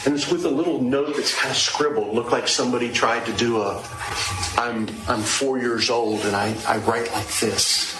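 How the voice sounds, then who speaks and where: A man giving an unscripted courtroom statement as a lawyer, conversational and halting, repeating phrases and posing rhetorical questions. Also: medium pitch, steady tone. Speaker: trial lawyer addressing a jury. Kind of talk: extemporaneous courtroom opening statement. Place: indoors, large echoey courtroom. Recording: echoey, noisy courtroom audio.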